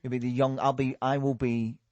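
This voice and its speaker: A man hosts a loose remote podcast chat, quick to joke and laugh, often tripping into restarts. His voice sits at an ordinary middle pitch. The sound is dry and close. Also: level tone, darkish tone, no room sound. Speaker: podcast host. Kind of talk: casual panel chat.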